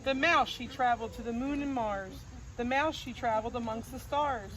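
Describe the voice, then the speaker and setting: A woman reading a rhyming picture book aloud in a gentle sing-song cadence, recorded outdoors on a farm. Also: a little high, normal speed. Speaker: storybook reader. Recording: noisy outdoor recording with crickets.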